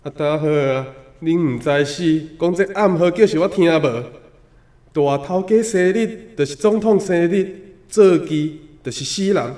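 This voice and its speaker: An actor playing a pushy, suspicious secret-police agent in a radio drama, questioning in an accusatory way. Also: male voice, fairly high pitch, measured pace, mellow, lightly acted. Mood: accusing, triumphant. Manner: interrogating, accusatory. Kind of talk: Taiwanese radio drama acting.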